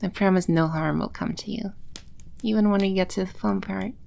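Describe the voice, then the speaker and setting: A woman playing a playful, teasing demon empress in an ASMR audio roleplay, speaking with unhurried, coy confidence. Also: medium pitch, fairly even intonation, gravelly, a little mellow, slight background noise. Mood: teasing, reassuring. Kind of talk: ASMR audio roleplay, spoken close to the listener.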